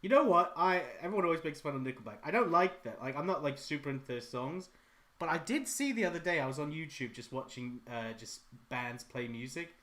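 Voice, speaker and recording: A man, chatting casually and joking on a live art stream. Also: a little fast. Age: 30s. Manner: animated, jokey, goes high and loud when quoting people. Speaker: artist and co-host.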